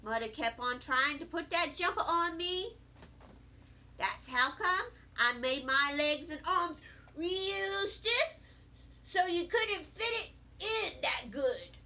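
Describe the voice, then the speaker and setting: A woman reading a children's book aloud in an animated, deliberate storytelling manner. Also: high-pitched. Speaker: storybook reader. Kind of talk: expressive children's book narration.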